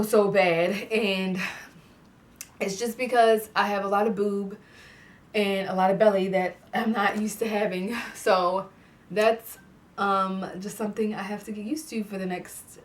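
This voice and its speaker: A woman's voice: mid-range voice, far from the mic. A young woman chatting casually to camera in a vlog, stuffy-nosed and laughing often, filling her talk with "like". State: congested, clears throat. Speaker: vlogger. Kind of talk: casual pregnancy update vlog. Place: indoor room with fairy lights.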